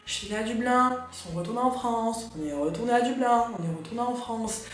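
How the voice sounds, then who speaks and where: A young woman vlogging as an au pair, chatting in a lively, slangy way. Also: on the high side, brisk. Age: young adult. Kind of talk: casual chat. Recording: very echoey room with music.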